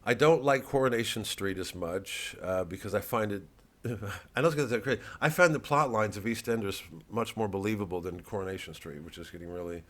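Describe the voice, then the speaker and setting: A low-pitched male comedian talks casually to his online audience. He rambles and digresses, often halting to find his thread, with a self-deprecating manner. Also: fairly bright tone.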